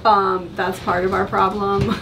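A woman's voice: mid-range voice, steady tone, slow, gravelly, very noisy. A woman in her thirties chatting casually indoors about house plans, laughing often, picked up by a nearby handheld camera. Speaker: wife discussing a renovation. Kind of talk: casual conversation. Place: indoors in a barn loft apartment.

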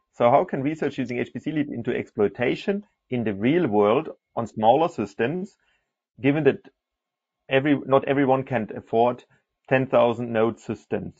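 A man's voice: mid-range voice, vocal fry, no room sound. A man moderating a technical panel and speaking off the cuff. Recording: dull, lo-fi online call audio.

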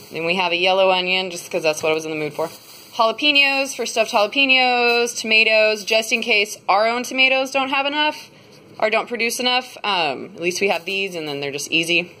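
A woman's voice: mid-range voice, pushed and bright. A woman in her twenties chatting casually into her phone camera for a grocery haul vlog, rambling with lots of fillers. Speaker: vlogger. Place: parked car, then a home kitchen. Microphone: phone camera at arm's length.

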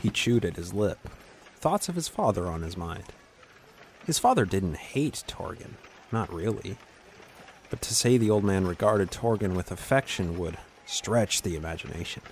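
A man's voice: deep voice, expressive, normal speed, slight background noise. A man giving a scripted narration of a fantasy story as its narrator.

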